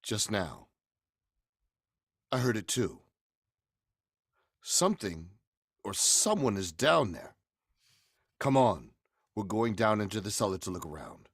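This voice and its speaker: Man acting a deep, gravelly, menacing killer. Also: sing-song, slow pace, pauses often, no echo, studio quality, full character voice. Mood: suspicious, commanding. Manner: theatrical, forceful. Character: menacing male murderer, commanding, coldly confessing. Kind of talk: 1940s radio horror acting.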